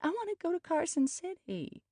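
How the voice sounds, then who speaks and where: A woman puts on an agitated woman shopkeeper for an audiobook. Her voice is whiny and lifted high, with a petulant, complaining delivery. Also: very animated, normal speed, warm tone, no room sound, clean studio sound. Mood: whiny, irate. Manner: petulant, pitch climbing in complaint. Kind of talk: audiobook character acting.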